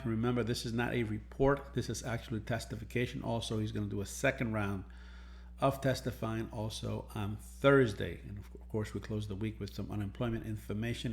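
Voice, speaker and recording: A man's voice: medium pitch, vocal fry. A man hosting a market analysis lesson, explaining charts in an explanatory way and repeating phrases for emphasis. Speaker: trading educator and market analyst host. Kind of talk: premarket market analysis over charts, semi-scripted explanatory talk.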